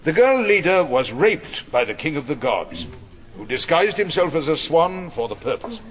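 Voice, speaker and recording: An actor playing a wily, irascible older English defence barrister in a radio drama, theatrical, probing and dryly ironic. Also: male voice, a little high, very animated, moderate pace. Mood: pointed, ironic. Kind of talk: radio drama courtroom acting and narration.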